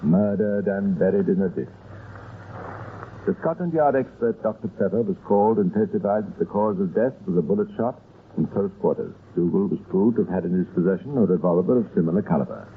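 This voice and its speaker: A man giving scripted narration for an old-time radio crime story in a measured storytelling manner. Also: deep voice, animated, narrow, tinny audio. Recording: thin, noisy old radio recording.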